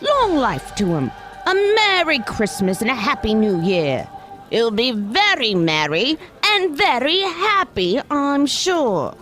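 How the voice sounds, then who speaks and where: Woman playing expressive, loving but indignant mother. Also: sits high, takes it slowly, soft background music, exaggerated voice. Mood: grudging. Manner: pointed, expressive. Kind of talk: audio drama acting.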